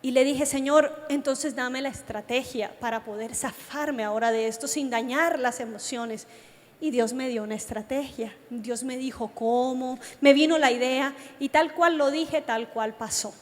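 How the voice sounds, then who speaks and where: A woman's voice: high-pitched, normal speed. A woman with a Colombian accent preaching to young people, telling personal stories and addressing her listeners directly. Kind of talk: youth sermon with personal testimony. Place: large reverberant meeting hall. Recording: long hall reverb from a sound system.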